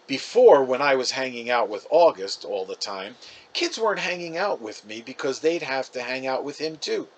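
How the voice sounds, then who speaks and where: A man's voice: mid-range voice, big pitch swings, normal speed, a little hiss or hum, poor audio. A man reading a storybook as a chatty, wounded, defensive fifth-grade boy, casual and emphatic. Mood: defensive, resigned.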